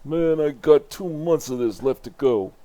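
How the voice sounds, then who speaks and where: Comic-book military guard, male, lonely and clipped, voice lifted high performed by an older man. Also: unhurried, warm tone, no room sound, lightly acted. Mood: bored. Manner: exclamatory, clipped military reporting. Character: lonely, bored male military outpost guard, startled into alertness.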